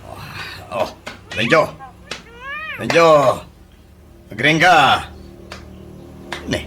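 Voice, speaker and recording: A medium-pitched male voice over clear music, an actor as a grumbling Ilocano Filipino elder of the house. He dishes out advice in comedy radio drama style. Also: lively intonation, drawn-out words, sharp tone, heavily acted. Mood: exasperated. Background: background music.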